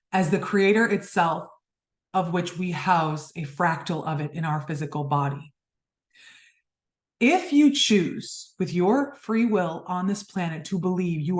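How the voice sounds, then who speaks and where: Earnest spiritual woman host, lowish voice. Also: echoey room. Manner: earnest, emphatic, repeats phrases for effect. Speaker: podcast host. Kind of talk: spiritual monologue.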